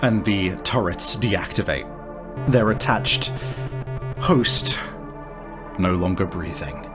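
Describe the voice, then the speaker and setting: A man improvising tabletop game narration as game master, describing things vividly and dramatically in a halting way. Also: low voice, over music.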